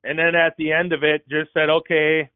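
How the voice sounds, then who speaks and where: Caller guy on a thin phone line, dry sound, kinda higher voice, walks through his reasoning. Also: monotone, moderate pace, clean tone, dry recording. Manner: reasoned, lays out his argument step by step. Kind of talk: casual call-in chat.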